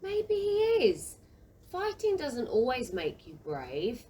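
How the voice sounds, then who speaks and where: A woman playing a gentle, reassuring village mother in an audio play. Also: fairly high pitch, huge pitch swings, takes it slowly, a little hiss or hum, exaggerated voice. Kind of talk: community audio play acting.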